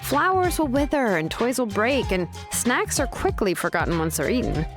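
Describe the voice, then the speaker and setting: Sensible fantasy game woman, measured, gently wry, expressive pitch, faint music behind. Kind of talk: video game voice acting.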